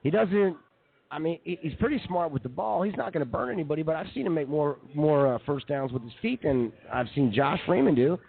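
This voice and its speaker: Animated, fast-talking man on sports radio. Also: on the high side, vocal fry. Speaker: sports talk co-host. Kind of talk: casual banter and sports opinion. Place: brewery, live remote broadcast. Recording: tinny narrowband live bar broadcast.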